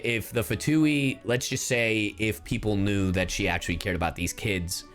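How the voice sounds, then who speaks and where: Low-pitched man, casual game streamer. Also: fairly bright tone. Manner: slangy, profane. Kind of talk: casual live-stream commentary.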